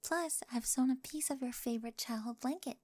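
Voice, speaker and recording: A woman's voice: sits high, brisk, a little mellow. A woman acting a quirky, analytical young bride in a scripted ASMR roleplay, earnest and loving, with precise scientific phrasing. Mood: bubbly, affectionate.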